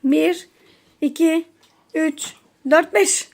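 A woman's voice: sits high, fairly even intonation, very slow, gravelly, darkish tone. A woman playing a casual family game as a mother, counting and coaxing in a playful, encouraging way. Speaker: mother leading a children's game.

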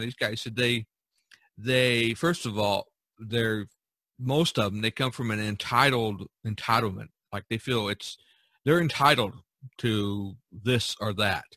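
An older man hosting a casual podcast discussion, speaking haltingly and repeating words as he searches for the next one. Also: medium pitch, pushed and bright, no echo. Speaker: podcast host.

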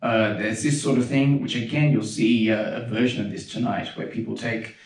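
Young man, low voice, casual lecture full of fillers, roomy hall, mic at a distance. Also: normal speed. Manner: relaxed, chatty, with frequent fillers and restarts. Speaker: pianist giving a keynote talk. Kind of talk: conference lecture with video examples. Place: large dark concert hall. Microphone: handheld mic.

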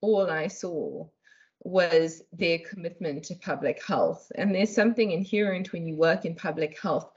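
Low husky South African woman, video call. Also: measured pace, darkish tone. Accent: South African English. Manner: articulate, laughs easily, thoughtful. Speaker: guest. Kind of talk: conversational interview answers. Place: home room, indoors. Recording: dull lo-fi video call audio.

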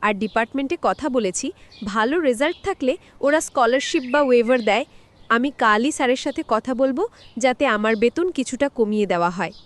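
A woman's voice: a little high, expressive, a little fast, lightly acted. A woman playing an earnest, determined young student daughter in a cartoon drama, talking in a reassuring way.

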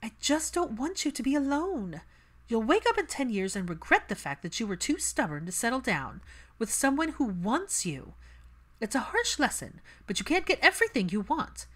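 A woman's voice: a little high, very animated, normal speed, fairly bright tone, pitched above the performer's own voice. A woman reading a storybook as a pragmatic, unyielding mother who lectures insistently. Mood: exasperated, lecturing. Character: pragmatic, set-in-her-ways older mother, critical and unyielding.

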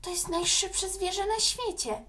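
A woman's voice: extremely high pitch, fairly even intonation, a little slow, bright, forceful tone, pitched above the performer's own voice, full character voice. A woman voicing a caring, curious young girl in a children's audio story. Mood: reassuring.

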